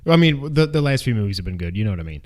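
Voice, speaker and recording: A man's voice: lowish voice, very animated, racing, a little mellow. Man chatting casually as review co-host. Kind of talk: casual chat.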